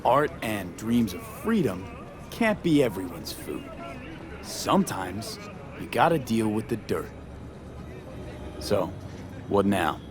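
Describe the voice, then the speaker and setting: Terse, low-voiced mercenary man. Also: sing-song, slow, pauses often, music in the background. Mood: dry, teasing. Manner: terse, matter-of-fact. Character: tough, pragmatic male street mercenary. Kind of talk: naturalistic video game dialogue.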